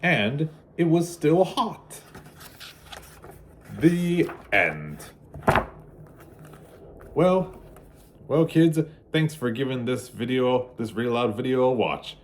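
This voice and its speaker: A man reads a picture book aloud for children at a very slow pace. His intonation is lively and varied, and his delivery is deliberate and halting, with dramatic pauses. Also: mid-range voice, background noise. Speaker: read-aloud storyteller for children. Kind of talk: storybook narration for kids.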